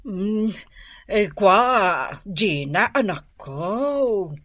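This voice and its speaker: A woman playing a middle-aged rural mother in a comedy radio drama, with an Ilocano accent and a drawn-out, hesitant, exclaiming way of talking. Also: medium pitch, animated, fairly bright tone, dry recording, full character voice. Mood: hesitant, wheedling. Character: whiny, wheedling rural mother, easily flustered, laughing.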